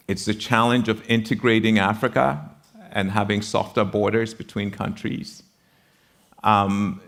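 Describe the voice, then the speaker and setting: Low-voiced, deliberate Ghanaian man. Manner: measured, deliberate, pausing between phrases. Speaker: panelist, university founder. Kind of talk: panel discussion, explanatory speech.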